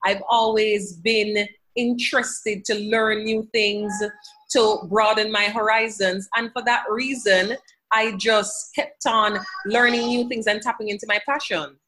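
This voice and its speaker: A woman's voice: mid-range voice, steady tone, a little slow, sharp tone, rough recording. Earnest woman podcast guest. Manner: earnest, faith-filled, quotes scripture. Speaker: podcast guest, language educator. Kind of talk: conversational interview answers. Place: home office.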